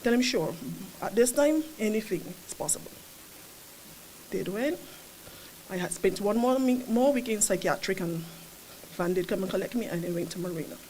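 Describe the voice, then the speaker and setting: A woman's voice: medium pitch, lively intonation, normal speed, slight background noise, poor audio. A woman in her thirties with a Caribbean accent, hesitantly sharing her personal recovery story at a press event, speaking into a podium mic. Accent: Caribbean English. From Barbados, raised in Saint Lucia. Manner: hesitant, soft-spoken, plain storytelling. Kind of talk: personal testimony. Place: conference room at a press event. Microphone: podium mic on a stand.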